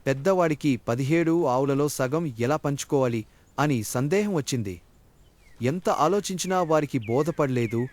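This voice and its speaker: A man's voice: a little high, animated, normal speed, darkish tone, dry recording. A man narrating a scripted moral story for children as a storyteller.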